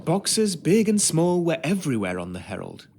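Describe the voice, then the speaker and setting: A polite ship's steward in a period adventure game, telling his memories in a measured, reflective way. His voice is slightly high and melodically varied. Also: sing-song, creaky, a little mellow, a little hiss or hum. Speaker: actor in a narrative adventure game. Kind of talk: scripted first-person period narration.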